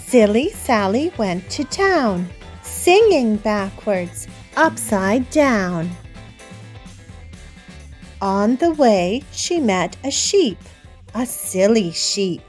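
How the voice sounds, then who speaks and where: A woman hosting story time, reading a picture book aloud to young children in a deliberate, sing-song manner. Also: medium pitch, sing-song, darkish tone, soft background music. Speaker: children's storybook reader and host.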